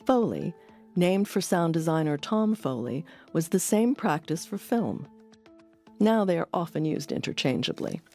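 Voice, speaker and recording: A woman hosting an audio documentary, reading scripted narration in a measured, explanatory way. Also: lowish voice, big pitch swings, warm tone. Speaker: documentary host. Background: music under the voice.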